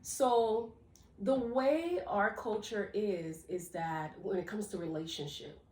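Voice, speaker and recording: A woman from Liberia co-hosts a casual talk show in a lively, unscripted way. She laughs often and stumbles over her first words before a point lands. Her voice sits at a middle pitch, and the room around her sounds echoey. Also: takes it slowly. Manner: animated, laughs often, repeats words when starting sentences. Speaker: co-host. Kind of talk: casual chat.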